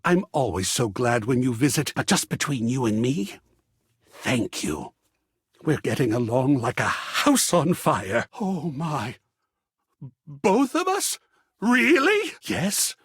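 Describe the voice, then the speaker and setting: A man playing a flamboyant, passionate artist in a video game, speaking in a husky, theatrical and florid way. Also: fairly high pitch, no echo, clean studio sound, exaggerated voice. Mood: delighted, excited. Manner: theatrical, florid, effusive, swooping between hush and cries. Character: flamboyant, passionate artist, an art object come to life. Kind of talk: video game character acting.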